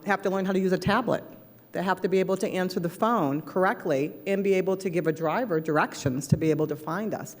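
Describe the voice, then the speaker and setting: A woman's voice: lowish voice, big-room sound. A woman on a discussion panel who explains her points in a conversational way. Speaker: panelist, restaurant owner.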